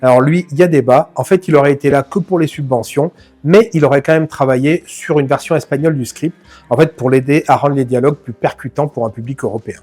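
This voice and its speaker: A man hosts a relaxed film-history talk, speaking to the viewer as a friend. His voice has a slightly husky grain at an ordinary pitch. Faint music sits behind him. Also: fast talker. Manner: chatty, addresses viewer informally, self-mocking asides. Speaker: film channel host. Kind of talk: casual film analysis to camera.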